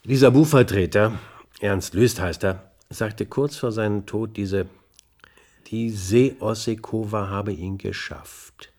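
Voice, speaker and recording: An actor playing a police investigator in a radio play, questioning in a dry, sardonic, clipped way with a husky voice. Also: male voice, on the low side, slow, darkish tone. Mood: matter-of-fact. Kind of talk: German radio play dialogue.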